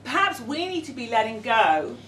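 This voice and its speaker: Middle-aged London woman, higher voice, halting workshop presenter, far mic in an echoey room. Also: sing-song, slight background noise. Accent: London English. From London, England. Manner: hesitant, many ums, warm and self-deprecating. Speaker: educator leading a workshop. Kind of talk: conference workshop talk. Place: conference room with audience.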